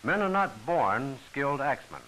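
A man's voice: mid-range voice, big pitch swings, moderate pace, a bit bright, slight background noise, low-quality recording. A man giving formal, instructional scripted narration for a training film, on an old film soundtrack.

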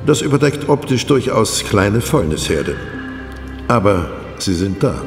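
A man's voice: fairly low pitch, big pitch swings, a little slow. An actor playing a wry forensic pathologist in a crime audio drama, savoring his explanations theatrically. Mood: relishing explanation. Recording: echoey hall, loud music behind.

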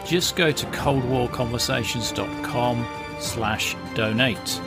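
A man hosting a podcast, reading a measured, scripted introduction. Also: mid-range voice. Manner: clear, measured, promotional read. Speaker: podcast host. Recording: music bed under voice.

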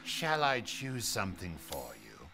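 A man's voice: low-pitched, huge pitch swings, unhurried, gravelly, noisy background, full character voice. An actor playing a jovial, friendly male merchant in a video game, with a theatrical salesman manner. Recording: game audio with music behind.

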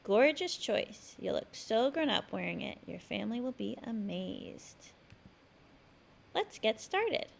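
A woman reads aloud for young children as a host and storyteller. Her voice sits fairly high and swings widely in pitch, with a clearly creaky edge. She delivers each phrase expressively and with emphasis. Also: very animated, takes it slowly. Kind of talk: expressive picture-book read-aloud narration.

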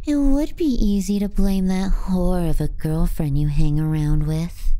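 A woman's voice: fairly low pitch, measured pace, mellow, natural voice. A woman playing a possessive, scornful younger sister in an intimate ASMR roleplay, murmuring breathily and languidly. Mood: bitter scorn. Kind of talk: intimate ASMR roleplay, slow and partly whispered.

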